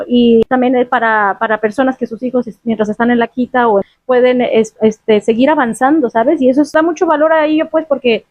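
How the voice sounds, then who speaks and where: A chatty Mexican woman guest with a high, bright voice talks lively and laughs easily. She comes through a tinny, phone-like line that sounds dry and close. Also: dry recording. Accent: Mexican Spanish. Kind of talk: casual interview chat.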